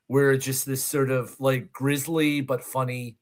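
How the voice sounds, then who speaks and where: A man co-hosting a movie countdown show, chatting casually with a hesitant, halting delivery. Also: medium pitch, flat delivery. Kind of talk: casual film chat.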